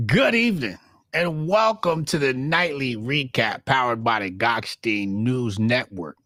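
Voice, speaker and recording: A hoarse man hosts a podcast in a gravelly, drawn-out announcer manner. His voice has a bright, forceful edge, and he speaks very slowly. The sound is dry and close. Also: lively intonation, no echo. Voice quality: gravelly, deep radio-DJ sound. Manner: drawn-out, exaggerated announcer delivery. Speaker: show host. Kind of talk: casual podcast chat.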